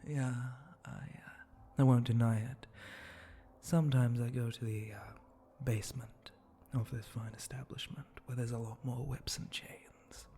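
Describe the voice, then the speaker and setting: A gravelly, mellow male monster bartender, acted by a man, flirting slowly and teasingly in an intimate ASMR roleplay. His voice is breathy, often slipping toward a whisper, with suggestive pauses and hums. Faint noise sits behind him. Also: medium pitch, steady tone, natural voice. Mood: sly, flirtatious. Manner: slow, languid, suggestive drawl with pauses and hums. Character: flirty, teasing spider-monster bartender, smooth-talking seducer.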